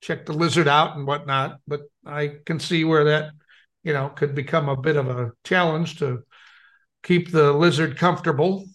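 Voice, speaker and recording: A man's voice: on the high side, steady tone, moderate pace, a bit bright. A middle-aged American man co-hosting a podcast in casual chat, with a hesitant, rambling way of talking. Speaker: podcast co-host.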